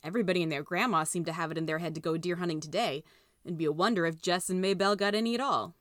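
Casting: female audiobook narrator with a fairly low voice, reading scripted fiction in a dry acoustic. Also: rapid-fire, no echo.

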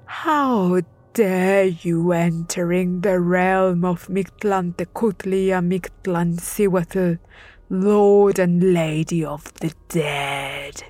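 A woman voicing a menacing male lord of the dead who speaks slowly and commandingly in a storybook. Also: lowish voice, fairly even intonation, a little mellow, no echo. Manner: slow, commanding.

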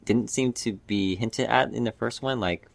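A man giving his opinions in a casual film discussion, with halting, loose phrasing. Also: mid-range voice, gravelly, no echo. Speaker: podcast panelist.

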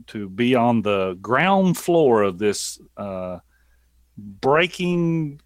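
A man's voice: mid-range voice, fairly bright tone, no room sound. A man with a thick Southern American accent, a podcast guest chatting casually and hesitantly, often restarting his sentences. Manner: halting, self-interrupting, drawn out. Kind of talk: casual chat.

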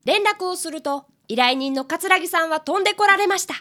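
A woman's voice: extremely high pitch, brisk, pushed and bright. A woman in a radio drama voicing an earnest fifth-grade boy detective who reports politely and formally. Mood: eager, dutiful.

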